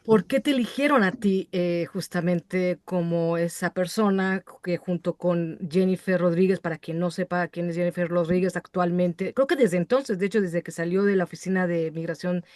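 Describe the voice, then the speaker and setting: A woman's voice: lowish voice, normal speed, hardly any pauses, a little mellow, no room sound, studio quality. A woman hosting an interview, asking long, rambling questions full of asides. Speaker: interview host. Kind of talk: conversational interview.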